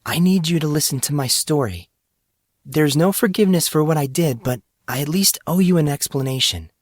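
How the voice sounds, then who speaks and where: A man's voice: on the high side, normal speed, dry recording, studio quality, pitched above the performer's own voice, natural voice. A man voicing a distressed, guilt-ridden teenage girl in an audio drama, hesitant and confessional. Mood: pleading, regretful.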